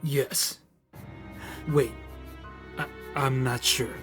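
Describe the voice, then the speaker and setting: A man playing an earnest soldier serving a knight in an audio drama, with a husky voice. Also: mid-range voice, fairly even intonation, very slow, fairly bright tone, soft background music. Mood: tense, urgent.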